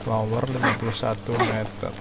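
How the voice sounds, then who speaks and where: A man's voice: mid-range voice, little pitch movement, fairly quick, heavy background noise. A man with an Indonesian accent giving casual let's-play commentary as a gaming streamer. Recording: commentary over loud game music.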